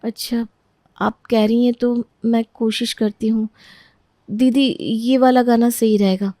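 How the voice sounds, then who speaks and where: A woman's voice: on the high side, steady tone, measured pace, dark tone, no echo, clean studio sound, close to an everyday voice. A woman voicing a shy, modest young new bride in a storybook tale, hesitant and deferential. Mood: shy, unsure.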